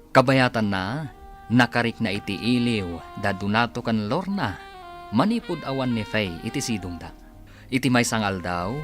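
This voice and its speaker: A man with an Ilocano accent narrating and announcing a radio drama in a dramatic style. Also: on the low side. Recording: music under the voice. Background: music.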